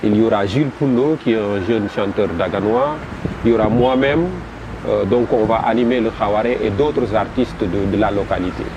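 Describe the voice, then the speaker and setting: A man with a Senegalese French accent, a festival promoter describing his event in an interview, halting and repeating words as he goes. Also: lowish voice, muffled recording. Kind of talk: interview answers. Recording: noisy background.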